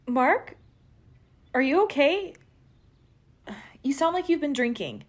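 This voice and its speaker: Concerned, exasperated woman on a call; high voice, very animated, emotive audio drama delivery. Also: slow pace. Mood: confused, worried. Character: exasperated, concerned woman, former partner on the phone.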